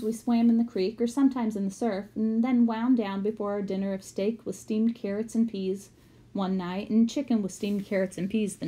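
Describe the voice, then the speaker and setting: Woman, medium pitch, storybook narration, first-person, reading aloud. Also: a little mellow. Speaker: reader of a book aloud.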